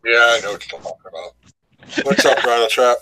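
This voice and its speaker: Easygoing middle-aged guy on a stream, bright voice, faint background hiss. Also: mid-range voice, moderate pace, poor audio. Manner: easygoing, greets viewers in chat. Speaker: co-host of a live stream. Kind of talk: casual chat.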